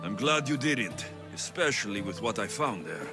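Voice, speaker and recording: An actor playing a protective young mercenary hero in a video game, who turns menacing when angered. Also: male voice, on the low side, sing-song, moderate pace, music in the background. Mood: reassuring, grave. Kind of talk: dramatic video game acting.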